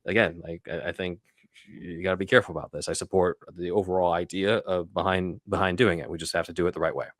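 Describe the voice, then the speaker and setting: A man's voice: deep voice, fairly even intonation, quick pace, dry recording, clean studio sound. A man talking casually and emphatically as a podcast host, in a conversational way. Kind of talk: casual film discussion.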